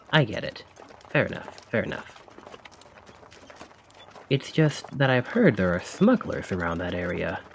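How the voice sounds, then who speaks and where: A man acts out a talkative carriage driver in an ASMR roleplay. He speaks slowly and fairly low, with big swings in pitch. His manner is casual, rambling and a little awkward, with a sly, probing edge. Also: creaky, darkish tone, a little hiss or hum, full character voice. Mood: breezy, agreeable, then slyly probing. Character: chatty, cheeky stand-in carriage driver, slyly probing. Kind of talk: ASMR roleplay acting, addressing the listener.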